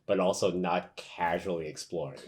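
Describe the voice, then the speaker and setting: A man's voice: low-pitched, fairly even intonation, normal speed. A young man playing a tabletop game, chatting thoughtfully with many "like"s. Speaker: player. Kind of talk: casual tabletop game play.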